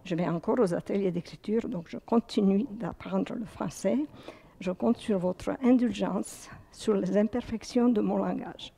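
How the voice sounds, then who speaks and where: Older woman, Serbo-Croatian accent, reading a talk, slow, gravelly. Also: medium pitch, dark tone. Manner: careful, reading from notes. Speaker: presenter. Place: public hall.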